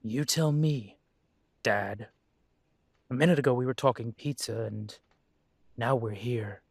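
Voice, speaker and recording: A man playing a slow, earnest young werewolf. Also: medium pitch, vocal fry, no room sound. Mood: insistent. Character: young adult male werewolf son, earnest and proud. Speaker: audio drama creator and lead voice. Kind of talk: audio drama acting.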